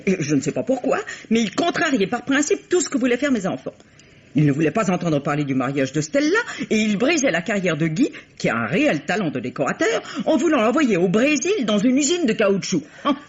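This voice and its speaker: A woman's voice: mid-range voice, very animated, a little fast, slightly rough. An actress playing an aggrieved, protective middle-aged mother in an old French radio mystery, protesting indignantly. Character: aggrieved, protective mother, sister-in-law of deceased. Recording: dull vintage radio sound.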